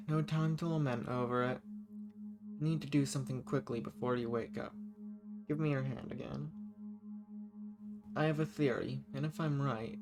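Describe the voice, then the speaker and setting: A man's voice: medium pitch, natural voice. A man playing a banished ancient villain, seductive, scheming and lonely, speaking intimately and teasingly to the listener in a roleplay audio drama. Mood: urgent, focused. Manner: flirtatious, teasing, theatrical. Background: music.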